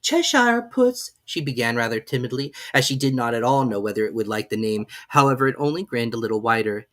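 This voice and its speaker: A reader giving an expressive scripted narration of a children's storybook. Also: medium pitch, quick pace. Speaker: audiobook reader.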